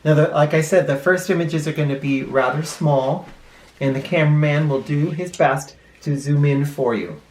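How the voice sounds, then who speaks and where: A male teacher reading a picture book aloud to children expressively, pausing dramatically and explaining words in simple terms. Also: fairly high pitch, darkish tone, a little hiss or hum. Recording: roomy, echoing room sound.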